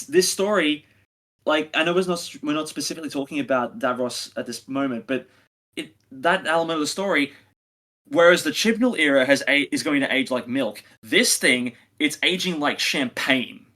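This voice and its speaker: A man's voice: a little high, moderate pace, bright, forceful tone. A man co-hosting a review podcast, chatting casually and giving his opinions with emphatic phrasing. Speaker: podcast co-host reviewing audio dramas.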